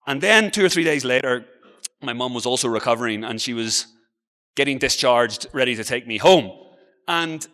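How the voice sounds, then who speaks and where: Bright-voiced Irish man in a reverberant hall. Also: mid-range voice. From Ireland. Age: early 40s. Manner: informal, self-deprecating, conversational. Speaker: travel blogger and guest speaker. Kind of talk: motivational conference talk, personal storytelling. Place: conference hall on stage. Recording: reverberant hall, long echo.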